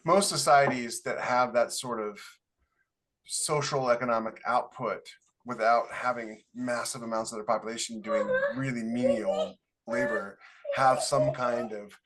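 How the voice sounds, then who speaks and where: A man's voice: mid-range voice, steady tone, unhurried, a bit bright, low-quality recording. A man with an American accent talking as a podcast co-host, explaining his points informally in a casual panel discussion.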